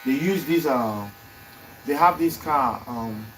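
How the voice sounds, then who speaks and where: A man chatting casually in a barbershop, telling lively stories and repeating words when he gets excited. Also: medium pitch, takes it slowly, a little hiss or hum, low-quality recording. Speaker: barbershop podcast guest. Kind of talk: casual chat.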